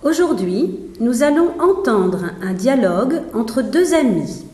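A woman presenting a language lesson as its teacher, giving deliberate scripted instructions in a roomy, echoing recording. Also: a little high, sing-song, dark tone, faint music behind.

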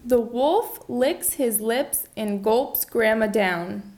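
Slow woman narrator for learners. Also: a little high, darkish tone. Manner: slow, deliberate storytelling.

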